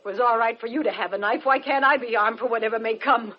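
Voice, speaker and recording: An actress in a 1940s-style radio drama playing a frightened, defiant woman accused of madness, shrill and melodramatic. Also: fast, close to an everyday voice. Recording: dull vintage radio recording.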